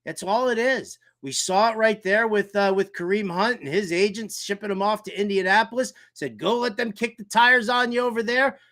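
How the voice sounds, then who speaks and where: An American man hosting a fantasy football talk show, animated and theatrical, with colloquial, wisecracking delivery. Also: high voice, moderate pace, hardly any pauses. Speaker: sports talk show host.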